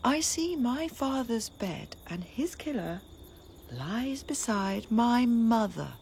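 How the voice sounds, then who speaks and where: An actress playing a grieving, vengeful young princess in a classical tragedy, giving an impassioned, bitter lament that sometimes drops to a whisper. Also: female voice, fairly high pitch, big pitch swings, slow, a little mellow, a little hiss or hum, heavily acted. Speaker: stage actor. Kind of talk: classical tragedy stage acting.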